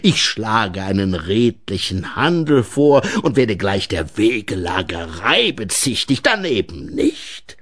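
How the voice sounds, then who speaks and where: Storybook forest gnome: sly, touchy, theatrical wheedler, very slow, extremely varied pitch. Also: male voice, sing-song, fairly bright tone, full character voice. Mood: offended. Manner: theatrical, wheedling, drawn-out delivery. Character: sly, theatrical, easily offended male forest gnome troll.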